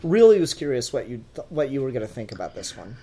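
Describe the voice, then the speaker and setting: A man's voice: medium pitch, sing-song, fast, mellow, husky. A man co-hosting a podcast, chatting casually and enthusiastically as a fan of animation. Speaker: podcast co-host.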